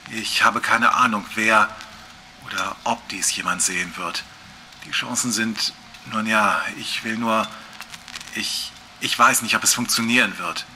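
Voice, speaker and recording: Actor as tense, low-voiced obsessive researcher. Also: male voice, sharp tone, slight background noise. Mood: anxious resolve. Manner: deliberate, confiding, tense. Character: obsessive lone male researcher, anxious, brooding, racing against time. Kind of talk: German audio horror drama monologue.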